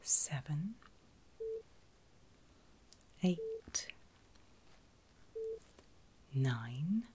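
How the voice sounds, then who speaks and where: A woman whispering in a breathy, soothing and reassuring way, as a caregiver in an ASMR medical roleplay. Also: sits low, wildly swooping pitch, dark tone. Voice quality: breathy, mostly unvoiced whisper. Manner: soothing, reassuring, slow and deliberate. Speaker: ASMR performer playing a surgical nurse.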